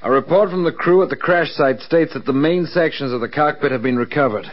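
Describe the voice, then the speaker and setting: Actor as an earnest officer, slightly high voice. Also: male voice, fairly quick, lightly acted. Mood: businesslike. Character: dutiful, earnest male air force control officer. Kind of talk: mid-century radio drama acting. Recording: muffled, hissy vintage radio recording.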